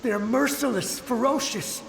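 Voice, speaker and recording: A man's voice: very high voice, unhurried, gravelly, a bit bright, heavily acted. A man voicing the desperate, dutiful ghost of a fallen soldier in a video game, urgent and strained. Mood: dread. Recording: long echoing reverb, some noise.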